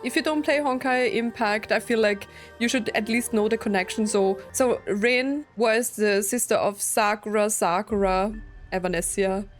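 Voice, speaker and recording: Woman, game streamer, casual commentary, chatty, giggly, medium pitch, faint music behind. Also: steady tone, moderate pace. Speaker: streamer playing through a story game.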